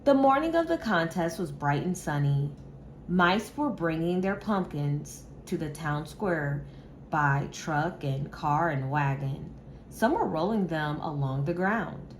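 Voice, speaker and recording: A woman reading a children's story aloud with measured, expressive delivery, like a teacher. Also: deep voice, slight background noise.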